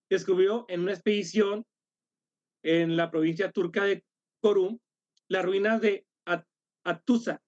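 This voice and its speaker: A man leading an online study workshop, speaking haltingly, correcting himself and apologizing after coughs. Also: high-pitched, dry recording, dull audio. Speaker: workshop leader.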